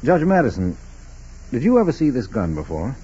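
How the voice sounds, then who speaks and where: An actor playing a brisk, businesslike federal agent questioning people in a 1940s radio crime drama. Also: male voice, mid-range voice, huge pitch swings, warm tone, slightly rough. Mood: matter-of-fact. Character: brisk, probing male federal agent on a case. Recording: muffled, noisy 1940s radio recording.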